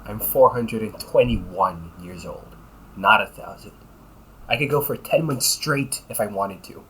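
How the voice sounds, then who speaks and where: A man's voice: lowish voice, unhurried, a bit bright, a little hiss or hum, poor audio. A man playing a cocky, weary, centuries-old but young-sounding vampire brother in a conversational audio roleplay, grumbling with dry sarcasm. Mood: sarcastic, tired. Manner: sarcastic, grumbling, often chuckling. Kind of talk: audio roleplay, conversational one-sided dialogue.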